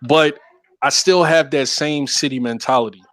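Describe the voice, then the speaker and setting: A man's voice: a little high, normal speed, fairly bright tone, no room sound. A man from Philadelphia chatting casually in a friendly way, laughing easily. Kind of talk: casual chat.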